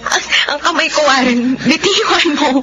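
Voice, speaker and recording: A woman's voice: sits high, normal speed, lightly acted. A woman acting a tender, fearful young sweetheart in a romantic radio drama, hesitant and emotional. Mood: fearful, resisting. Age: young adult. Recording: dull lo-fi audio with music.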